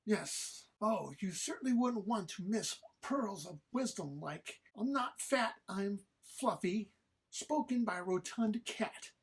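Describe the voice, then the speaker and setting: Woman acting husky, haughty, sarcastic companion. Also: medium pitch, lively intonation, slow pace, full character voice. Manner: arch, formal, sarcastic. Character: haughty, exasperated, scolding companion, dry and disdainful. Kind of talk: informal script read-through of audio comedy.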